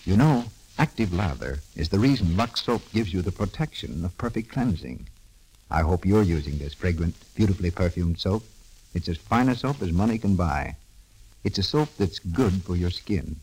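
A man's voice: sits low, lively intonation, moderate pace, a little mellow, husky, poor audio. A man announcing in scripted 1930s radio style, reading as a network announcer and commercial spokesman.

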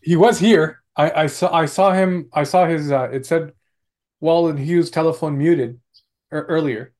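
A man chatting casually in a group, speaking haltingly and repeating himself. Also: fairly high pitch, darkish tone.

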